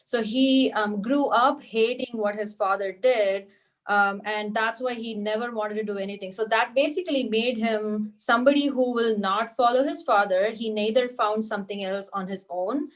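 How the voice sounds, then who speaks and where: A woman's voice: on the high side, steady tone, moderate pace. A woman talking casually as a writer explaining a script in an online discussion. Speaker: amateur playwright explaining their script. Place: online video meeting.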